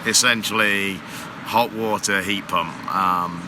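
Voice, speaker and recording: Low-voiced man chatting on a noisy expo floor. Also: fairly even intonation, takes it slowly. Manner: explanatory, rambling with fillers. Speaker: guest, water heater company manager. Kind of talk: casual interview on a trade show floor. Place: busy trade show floor.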